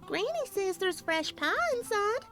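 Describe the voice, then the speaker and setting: A woman's voice: extremely high pitch, measured pace, a bit bright, faint music behind, pitched above the performer's own voice, heavily acted. A woman voicing a little filly, a wary younger sister, in a childlike way for a story reading.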